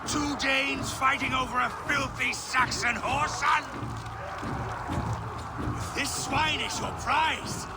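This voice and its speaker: A man's voice: very high-pitched, fairly even intonation, slow pace, pauses often, over loud music. An actor playing a brutal, contemptuous Danish warlord who taunts his foe in a video game. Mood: taunting. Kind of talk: dramatic video game villain acting.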